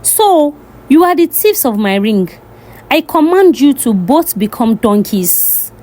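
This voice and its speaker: Woman playing an emphatic, animated folktale king. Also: sits high, slow pace, full character voice. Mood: commanding. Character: royal father, indulgent to his child, urgent, determined. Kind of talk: folktale storytelling.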